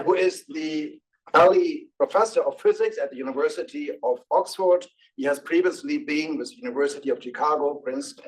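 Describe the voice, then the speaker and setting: A man's voice: on the high side, recorded from a distance, poor audio. A man chairing a conference session, formally introducing the next speaker at length. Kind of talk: conference moderation. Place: conference hall.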